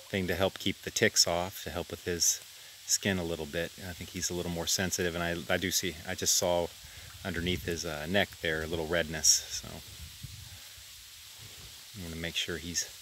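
A man giving a relaxed, rambling tour of his farm by a creek, filmed on a handheld camera outdoors. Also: sits low, normal speed, a little hiss or hum, poor audio. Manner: rambling, relaxed, self-deprecating with restarts. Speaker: farm vlogger. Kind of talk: casual walk-and-talk farm tour.

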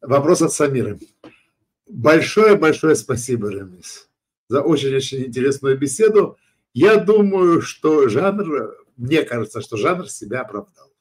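A man from Georgia hosting an interview, reading out viewers' questions with a brisk, friendly, joking manner. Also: medium pitch, animated, slightly rough. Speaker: interviewer host.

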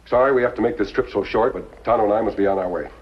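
A man's voice: on the low side, rapid-fire. A man playing an upright, courteous masked cowboy hero with firm, formal diction in 1950s western style. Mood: earnest, polite. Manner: firm, formal, clearly articulated. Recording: muffled vintage TV soundtrack, faint music.

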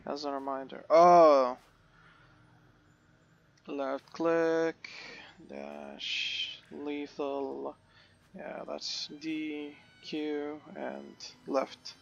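A man's voice: on the high side, lively intonation, extremely slow, gravelly, lo-fi sound. A man hosting a casual game let's play, rambling and hesitant, his voice a bit crackly. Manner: rambling, hesitant with many fillers. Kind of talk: casual gameplay commentary.

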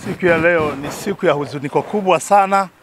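A man's voice: fairly high pitch, quick pace, slight background noise. A man in his sixties with a Kenyan accent giving an oratorical press statement outdoors, repeating phrases. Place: outdoors among a group of people. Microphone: cluster of handheld press microphones.

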